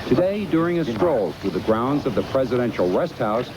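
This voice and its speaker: A man's voice: medium pitch, very animated, normal speed, over a noisy crowd, poor audio. A man narrating a scripted news report as a foreign correspondent. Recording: loud background noise on field report.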